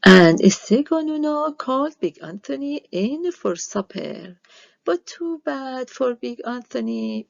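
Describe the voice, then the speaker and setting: Slow, expressive woman reading a storybook. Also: a little high, creaky, no room sound, lo-fi sound. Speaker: storybook reader. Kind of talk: expressive read-aloud of a children's story.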